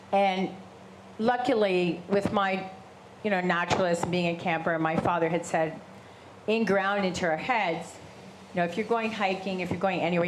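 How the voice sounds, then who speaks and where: Woman, big animated voice, lowish pitch, live talk to an audience, noisy room recording. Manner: big voice, animated, gestures while talking. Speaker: shark attack survivor and guest speaker. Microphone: handheld microphone.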